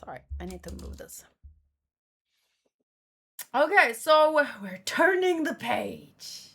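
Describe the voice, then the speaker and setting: A woman hosting a read-along in a casual live commentary style, with expressive, exclamatory reactions. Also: high-pitched, takes it slowly, gravelly. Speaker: host of a manga read-along stream.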